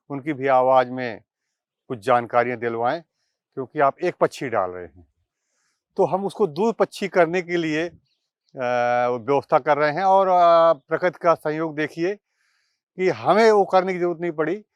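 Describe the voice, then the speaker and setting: A man presenting informal commentary to viewers, speaking haltingly with pauses and drawn-out phrases. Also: a little high, clean tone, no room sound, dull audio. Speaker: presenter of a news video channel.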